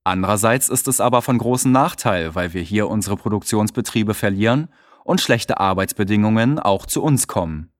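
A man reading short news texts and hosting a scripted radio call-in in a measured, clear way. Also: on the low side, expressive, no room sound, studio quality. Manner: measured, clear read-aloud delivery. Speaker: reader of exam listening texts.

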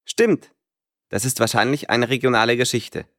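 A man's voice: medium pitch, moderate pace, a bit bright, no echo, clean studio sound, natural voice. An actor playing a curious man who asks questions in a scripted course dialogue.

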